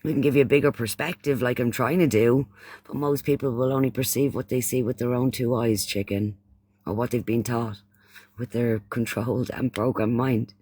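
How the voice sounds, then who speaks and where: Irish male, livestream host, medium pitch, casual chat, repetitive phrasing, affectionate pet names, dry recording. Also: fairly even intonation, normal speed, a little mellow, husky, no room sound. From Ireland.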